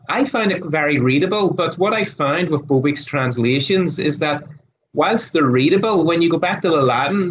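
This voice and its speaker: A man with a raspy, hoarse voice who is losing his voice. He speaks as a guest scholar, thoughtful, with many fillers and restarts. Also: a little high, fairly bright tone, poor audio. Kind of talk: casual interview talk.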